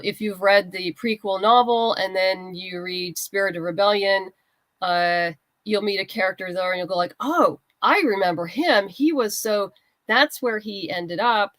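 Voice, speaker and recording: A woman's voice: mid-range voice, a bit bright, no room sound, rough recording. A woman speaking conversationally as an interview guest, explaining her own creative work as a writer.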